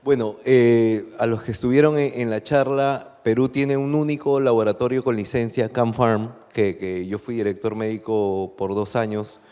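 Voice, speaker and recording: A man's voice: mid-range voice, fairly even intonation, moderate pace, few pauses, warm tone, clear, resonant tone. A Peruvian man giving an unscripted report as a medical panelist at a conference. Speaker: guest panelist, medical doctor. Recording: echoey hall with long reverb.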